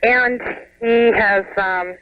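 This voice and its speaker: An American woman phoning a radio show, explaining her situation in a casual, earnest, slightly halting way. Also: on the high side, steady tone, no echo. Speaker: caller, home daycare operator. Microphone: telephone. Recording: muffled phone line on radio.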